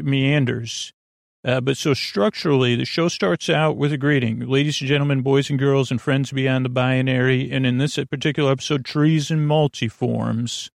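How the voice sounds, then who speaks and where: Gravelly, meandering male podcast host. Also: medium pitch, no echo, clean studio sound. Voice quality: gravelly, creaky. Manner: meandering, halting, full of digressions and trailing thoughts. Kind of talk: rambling bedtime sleep podcast monologue.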